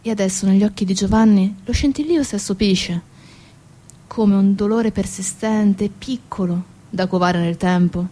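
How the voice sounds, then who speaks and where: A woman narrating a dramatic theatre monologue, solemn and deliberate, in short measured phrases. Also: medium pitch, mellow. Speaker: stage actress narrating.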